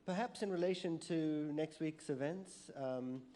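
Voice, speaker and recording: A man moderating a panel discussion in a casual way, often pausing with "um". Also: a little high, dark tone. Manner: casual, fills pauses with um.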